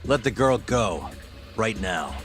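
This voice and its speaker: A man's voice: on the low side, very animated, slow, over music. A man acting a tough, resolute investigator in a video game drama, speaking bluntly and with self-assurance. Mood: cool, defiant. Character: tough, resolute male investigator, cool and protective.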